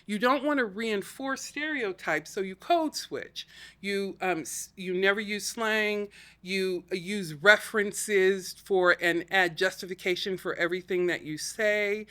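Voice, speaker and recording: A middle-aged woman, a scholar and podcast co-host, explaining her views in a measured, academic way during a casual conversation. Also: medium pitch, a bit bright.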